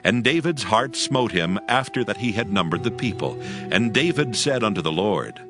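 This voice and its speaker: A man narrating a dramatized scripture as scripted audio, with solemn, measured storytelling in archaic phrasing. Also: fairly low pitch, very animated. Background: music.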